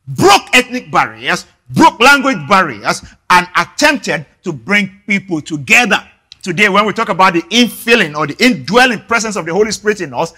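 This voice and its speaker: A man from Nigeria, a native Yoruba speaker, preaching a sermon earnestly, often repeating words and filling pauses. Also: high-pitched, sharp tone, breathy edge. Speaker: pastor.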